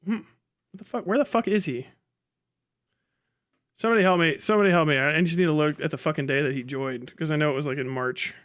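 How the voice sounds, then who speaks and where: A man's voice: a little high, fast, long pauses, fairly bright tone. Sweary man muttering through a livestream. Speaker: livestream host reacting to a video. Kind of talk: casual livestream commentary.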